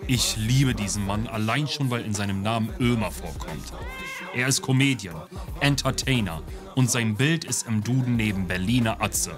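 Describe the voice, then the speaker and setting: A man's voice: lowish voice, normal speed. A man from Oldenburg narrating a travel show in scripted comedic voice-over with an ironic, joking manner. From Oldenburg, Germany. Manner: ironic, joking, lively delivery. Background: music.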